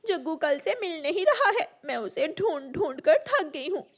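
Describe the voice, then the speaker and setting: A woman's voice: very high-pitched, sing-song, normal speed. A woman voicing a worried mother crow in a cartoon story, pleading in a plaintive way. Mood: anxious pleading. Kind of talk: Hindi animated moral story acting.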